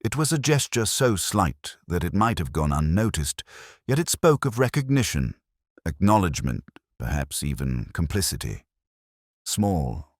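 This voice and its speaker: A man playing a brooding, intellectual police detective, narrating a mystery story in a measured, contemplative, literary manner. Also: rumbling low, dry recording, studio quality, exaggerated voice. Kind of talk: scripted first-person detective story narration.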